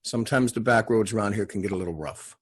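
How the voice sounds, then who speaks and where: A man's voice: on the low side, fast, husky. A man reading the part of an easygoing, hospitable small-town man in a TV drama, casual and folksy. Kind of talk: table read of TV drama script.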